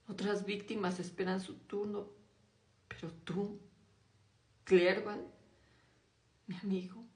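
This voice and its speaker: A woman reading a classic novel aloud in a slow, hushed, dramatic way, dropping her voice low on grim passages. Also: vocal fry, echoey room. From Mexico. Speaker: audiobook reader.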